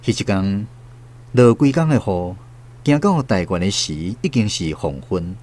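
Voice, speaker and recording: A man's voice: fairly low pitch, lively intonation, unhurried, darkish tone. A man with a Taiwanese accent narrating a radio drama as a scripted storyteller. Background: music.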